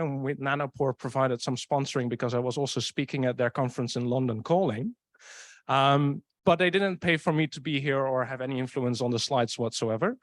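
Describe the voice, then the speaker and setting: A man with a Dutch accent giving an invited conference talk, measured and dotted with ums. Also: mid-range voice, no echo. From Netherlands. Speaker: invited speaker. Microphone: video call.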